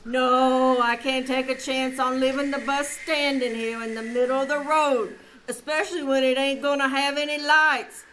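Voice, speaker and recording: A woman's voice: high voice, level tone, moderate pace, fairly bright tone, poor audio. A woman playing a folksy, easygoing bus driver with colloquial speech in 1940s radio drama style. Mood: reassuring. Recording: live stage recording, background noise.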